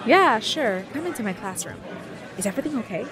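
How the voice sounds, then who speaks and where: A woman plays a helpful schoolteacher in an audio drama. Her voice is fairly high and obliging, with a clear bustle of people behind. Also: big pitch swings, a little fast, a bit bright. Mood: polite, puzzled. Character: polite, helpful female schoolteacher. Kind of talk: amateur audio drama acting. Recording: busy crowd noise behind.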